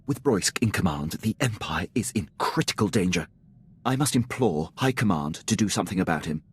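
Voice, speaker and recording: An actor playing a dutiful Imperial military captain in a video game, speaking in a formal, clipped, restrained way. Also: male voice, low voice, expressive, a little fast, slightly rough, full character voice. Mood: composed, dry.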